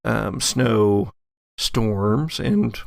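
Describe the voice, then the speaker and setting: A man narrating a tabletop game as game master, setting scenes cinematically in deliberate short phrases. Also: fairly low pitch, creaky, darkish tone, no room sound, studio quality. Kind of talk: descriptive improvised narration for audio-drama tabletop game.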